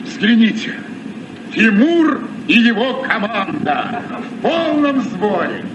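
Grand sea captain in old radio play, declaiming, echoey and distant. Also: male voice, high voice, sing-song, slow pace, full character voice. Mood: proud, admiring. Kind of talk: mid-century Soviet children's radio play acting. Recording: muffled, echoey old radio recording, noisy.

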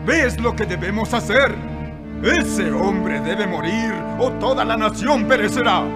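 A man playing an alarmed, ruthless religious leader, a villain in a dubbed animated Bible film. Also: high-pitched, measured pace, loud music behind the voice. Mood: alarmed scheming.

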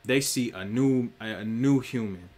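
A man's voice: mid-range voice, moderate pace, a little mellow. Profane preachy streamer man. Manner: profane, preachy, direct address. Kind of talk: casual spiritual monologue. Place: small indoor room.

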